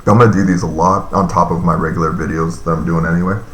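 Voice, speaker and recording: A man's voice: low voice, fairly even intonation, fast, reverberant, noisy background. A man in his thirties chatting casually on a live stream, reading and answering viewer comments in a loose, rambling way with lots of fillers. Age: 30s.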